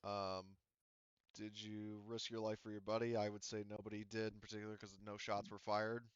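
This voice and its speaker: A man's voice: fairly low pitch, completely flat delivery, normal speed, clear, resonant tone, dry recording, muffled recording. A man chatting casually as game master of a tabletop roleplaying game, teasing and swearing freely.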